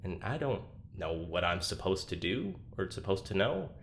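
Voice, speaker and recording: An actor playing a troubled, anxious young man in a confessional audio drama, speaking haltingly with self-deprecating, dry sarcasm. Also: deep voice, very animated, creaky, a bit bright, slight background noise, full character voice. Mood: weary, withdrawn.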